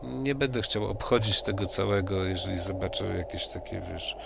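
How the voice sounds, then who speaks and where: A man with a low, gravelly voice talking as a player in a tabletop game, thinking aloud and often pausing to confirm details with a tag question. The call audio carries heavy hiss throughout. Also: little pitch movement. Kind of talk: casual tabletop play. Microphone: computer mic on a video call. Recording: noisy online session audio.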